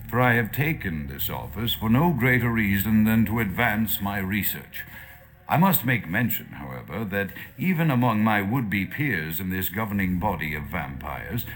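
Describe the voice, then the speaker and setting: Pompous, low-voiced vampire scholar. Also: male voice, a bit bright. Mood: haughty, dismissive. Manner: pompous, florid, disdainful, precise diction. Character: pompous erudite male vampire doctor, self-assured, paranoid-era Victorian diction. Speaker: audio diary narrator. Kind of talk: theatrical scripted monologue.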